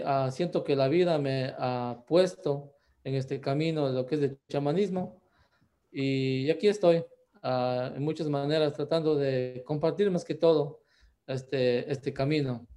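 Mellow, slow-talking man. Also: a little high. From Ecuador, Andean highlands. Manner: unhurried and hesitant, with many drawn-out "ah" and "eh" fillers. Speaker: shaman guest. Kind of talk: conversational interview answers.

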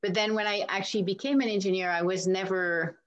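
A woman's voice: medium pitch, fairly even intonation, fairly quick, dull audio. A woman from France with a French accent, chatting as an interview guest and laughing often as she answers. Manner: warm and chatty, laughs often, many restarts.

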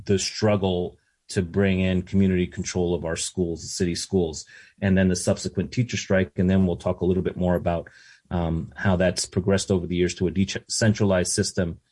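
A man hosting an interview, speaking haltingly with many filler sounds. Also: deep voice, darkish tone, slightly rough. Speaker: host.

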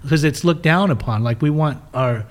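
A man's voice: a little high, normal speed. A middle-aged man who grew up in the United States and first spoke German, telling his life story as a podcast guest in an open, rambling way. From United States (raised in Utah). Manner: open, rambling, restarts words. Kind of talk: candid storytelling interview.

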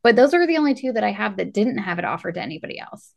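A woman's voice: mid-range voice, sing-song, rapid-fire, vocal fry. A woman co-hosting a casual film discussion podcast, speaking in an enthusiastic, chatty way. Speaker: podcast co-host.

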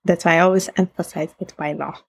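A woman's voice: fairly low pitch, fairly even intonation, fast, gravelly, slightly rough. A young woman with an Italian accent, reflective in a casual interview, laughing often and restarting sentences. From Italy. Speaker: guest.

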